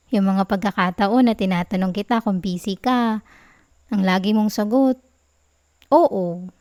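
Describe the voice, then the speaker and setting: A young woman with a Filipino accent playing a sweet, clingy, gently sulking girlfriend in an intimate ASMR roleplay, soft and whiny in her affectionate pleading. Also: medium pitch, no room sound, studio quality, close to an everyday voice. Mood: wistful, gently teasing.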